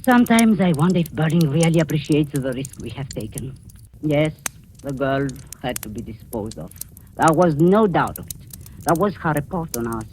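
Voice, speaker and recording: An actress playing a scheming, falsely sweet middle-aged aunt in old radio suspense style, with halting, menacing delivery. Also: female voice, low voice, animated, darkish tone. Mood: sly, knowing. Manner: cloying endearments, deliberate halting menace. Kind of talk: 1940s radio suspense acting. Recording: noisy old-time radio recording.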